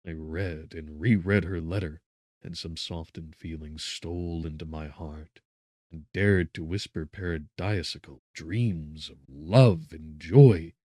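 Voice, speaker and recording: A man narrating a gothic novel as an audiobook, solemn and theatrical, with measured phrasing and emphatic swells. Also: a bass voice, dark tone, no echo, clean studio sound.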